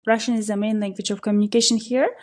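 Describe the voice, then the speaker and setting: A woman from Kyrgyzstan, a local tour operator, answering interview questions in a relaxed, explanatory way with frequent fillers. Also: medium pitch, fast talker. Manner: explanatory, many fillers, steady flow. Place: podcast recording.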